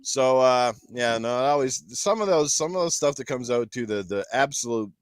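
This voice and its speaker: A man's voice: medium pitch, animated, normal speed, no echo, clean studio sound. A man hosting a casual panel chat, talking in a slangy, jokey, rambling way. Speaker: podcast host.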